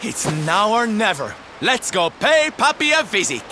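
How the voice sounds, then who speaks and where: A man's voice: high voice, sing-song, a little slow, background noise. An actor playing a flamboyant, sensitive male circus performer in a video game, camp and dramatic in his delivery. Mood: rueful, resolving. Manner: camp, dramatic, sighing, coy. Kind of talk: video game character acting.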